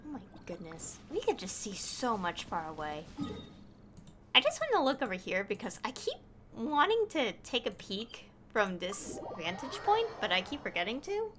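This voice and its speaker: A woman streamer chatting casually through a game, easily delighted and quick to laugh. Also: medium pitch, wildly swooping pitch, fairly bright tone, slight background noise. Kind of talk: casual gameplay commentary.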